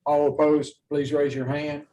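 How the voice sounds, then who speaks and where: A man's voice: fairly high pitch, level tone, measured pace, mellow, lo-fi sound. A man chairing a formal public meeting as commission chair, speaking in a courteous, procedural manner. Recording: distant mic, faint background noise.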